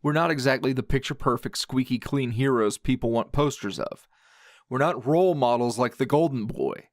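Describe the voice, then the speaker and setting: A man playing a grouchy, wounded anime hero in a dramatic ASMR roleplay, sardonic and halting in manner. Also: mid-range voice, dry recording, clean studio sound, lightly acted. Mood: bitter, sarcastic. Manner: sardonic, halting, emotionally raw.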